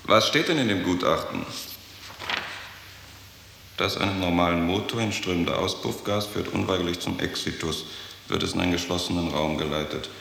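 Casting: cold, sceptical male official, deep and creaky, dry manner, echoey radio play. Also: fairly even intonation, moderate pace, fairly bright tone. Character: dry, sceptical male bureaucrat, coldly approving. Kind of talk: 1960s German radio drama acting. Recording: echoey hall, faint hiss.

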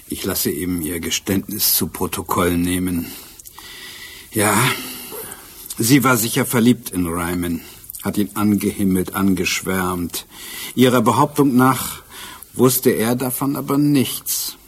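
Casting: shrewd police inspector in radio crime drama; deepish, slightly rough voice, dry irony, explains methodically. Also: male voice, a little slow. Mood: matter-of-fact. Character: shrewd, self-assured male police inspector solving a murder.

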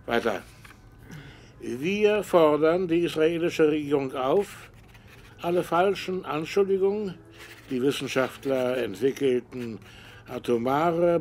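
A curt professor dictating. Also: male voice, a little high, a little mellow, slight background noise. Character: German rocket engineer professor, brusque boss.

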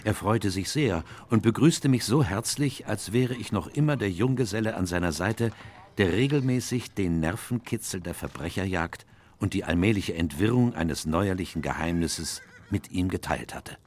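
An actor playing a reflective, loyal elderly doctor narrating his memoirs in a measured, literary way in a radio play. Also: low voice, animated, darkish tone. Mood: calm storytelling. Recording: music under the voice.

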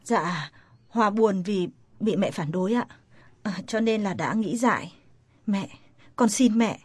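An actress playing a soft-spoken young woman who calms an angry mother in a family drama. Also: medium pitch, a little slow, breathy edge, no echo. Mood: apologetic. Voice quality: soft, placating. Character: gentle young woman soothing her partner's mother. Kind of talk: radio-style family drama.

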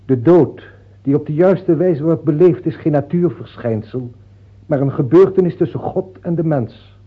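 Grave, husky holy father. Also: on the high side. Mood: earnest, admonishing. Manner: solemn, measured, admonishing. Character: grave, saintly holy father, frank counsellor to a king. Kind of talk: radio drama acting. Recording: muffled, tinny old radio-play recording.

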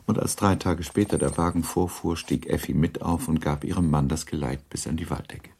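A man narrating a period novel in a radio drama, measured and formal. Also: very deep voice, fairly even intonation, gravelly, darkish tone, breathy edge, heavily acted.

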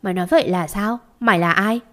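Woman doing a commanding, furious patriarch, loud and harsh, storybook read. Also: mid-range voice, fast, natural voice. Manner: shouting, interrogating. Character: stern angry wealthy older husband, commanding.

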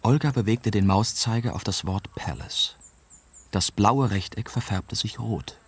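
A man's voice: on the low side, a little slow, breathy edge. A man playing a young journalist who narrates his own adventure in a scripted radio drama. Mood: tense, factual. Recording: music behind the voice.

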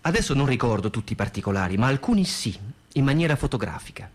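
A man with a husky, medium-pitched voice reads a letter for radio theatre. His delivery is expressive and theatrical, rising and falling widely. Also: quick pace. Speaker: radio reader. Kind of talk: dramatic reading of a letter.

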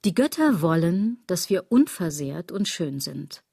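A woman's voice: fairly low pitch, sing-song, a little slow, vocal fry, very noisy, exaggerated voice. An actress playing a self-critical woman who muses ironically in a literary radio drama monologue. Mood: ironic musing. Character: self-critical woman brooding obsessively over clothes, body and gods.

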